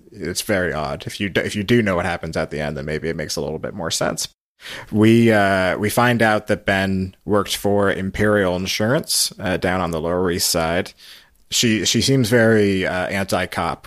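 A man with a low-pitched voice hosts a recap podcast. He talks casually and a bit haltingly. His explanations carry light amusement. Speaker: podcast host.

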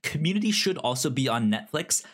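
A man's voice: medium pitch, sing-song, fairly quick, a bit bright. A man chatting casually and enthusiastically about TV as a podcast host, often stammering and repeating sounds. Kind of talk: casual chat about TV shows.

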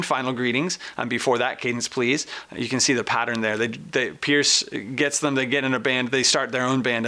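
A man's voice: medium pitch, sharp tone. A man with an American accent presenting and interviewing as a show host in an informal, enthusiastic, conversational way.

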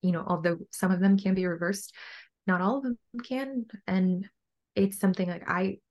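A young adult woman with a low voice, talking casually and earnestly as a coach being interviewed on a podcast. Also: fairly even intonation, moderate pace, darkish tone. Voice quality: low-pitched voice. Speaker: bodybuilding coach and podcast guest.

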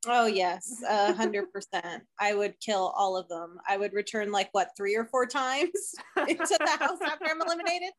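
A woman co-hosting a podcast in casual chat, playful and quick to laugh. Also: mid-range voice. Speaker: podcast co-host.

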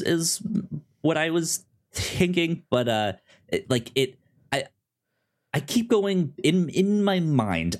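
A man co-hosting a podcast, chatting casually about television in a halting way, often repeating words and restarting. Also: a little high. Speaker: podcast co-host. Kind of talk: casual TV review chat.

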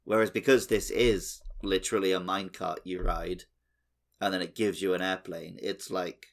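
A man's voice: deep voice, steady tone, normal speed, vocal fry. A man co-hosting a podcast, chatting casually about a video game. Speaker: podcast co-host.

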